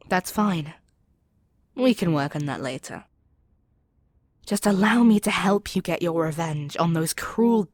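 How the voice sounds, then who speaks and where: A low, dry-recorded demon queen, acted out by a woman for an ASMR audio roleplay. She is imperious and seductive, coaxing her captive with arrogant confidence and a readiness to sink into whispers. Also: dry recording, natural voice. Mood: seductive persuasion.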